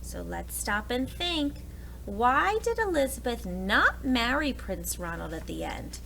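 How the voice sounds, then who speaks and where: A woman teacher reading a storybook aloud to young children in an animated style. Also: fairly high pitch, unhurried, gravelly, noisy background.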